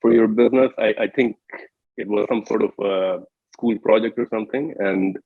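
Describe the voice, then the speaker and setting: A male host with a lowish, husky voice reads a polished intro and asks his guest questions. He sounds tinny and narrow, like he is on a phone line. The sound is dry, with no room around it. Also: fairly even intonation, normal speed, dry recording. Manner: scripted-sounding intro, then friendly questions. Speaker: podcast host. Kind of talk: interview hosting.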